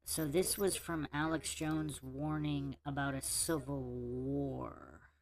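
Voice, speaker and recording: A man's voice: mid-range voice, little pitch movement. A man hosting a casual livestream Q&A, talking through audio troubles and restarting words. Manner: unhurried, restarting words while fixing audio settings. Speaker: livestream host.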